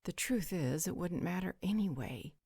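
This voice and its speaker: A worldly, supernatural female guardian voiced by a woman for an audio roleplay. Her voice sits low and is recorded close and dry, and she talks with blunt, teasing frankness. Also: animated, normal speed, gravelly, darkish tone, husky, no room sound, full character voice. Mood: matter-of-fact. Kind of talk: scripted roleplay audio drama.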